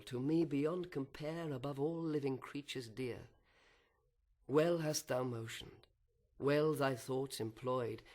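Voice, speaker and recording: Gentle first husband in a verse drama, very slow and measured, earnest, cautious, tender. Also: male voice, medium pitch.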